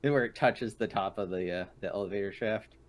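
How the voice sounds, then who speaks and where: A man's voice: lowish voice, fairly quick. A man playing a tabletop roleplaying game, casually bantering in character and describing what his character does.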